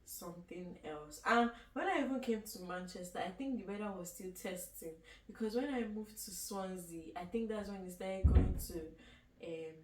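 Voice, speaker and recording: A woman's voice: mid-range voice, normal speed, a little mellow. A young woman with a Nigerian accent, chatting casually as a guest and laughing often as she talks. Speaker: guest student. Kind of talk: casual chat. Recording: echoey room from far off, faint noise.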